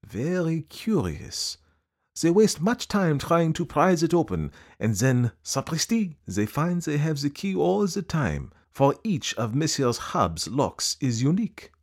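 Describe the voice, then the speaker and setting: A man voicing a fussy, precise male detective who asks polite, probing questions in a deliberate way. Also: fairly high pitch, very animated, pitched above the performer's own voice, heavily acted.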